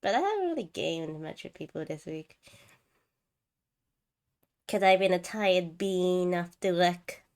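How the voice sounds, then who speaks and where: A woman's voice: on the low side, animated, measured pace, long pauses, darkish tone. A woman hosting a game livestream, chatting casually and rambling as she thinks aloud. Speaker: game streamer, host.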